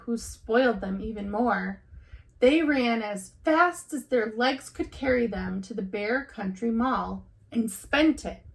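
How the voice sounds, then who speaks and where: Lady teacher reading a kids picture book out loud, voice on the high side. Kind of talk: children's storybook read-aloud.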